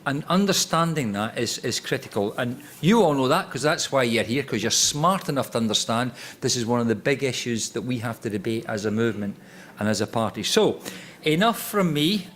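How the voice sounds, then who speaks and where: A man's voice: mid-range voice, lively intonation, a little fast, a bit bright. A middle-aged man with a Scottish accent chairing a conference panel, wry and teasing as he introduces the speakers. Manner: wry, teasing, self-deprecating. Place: conference room.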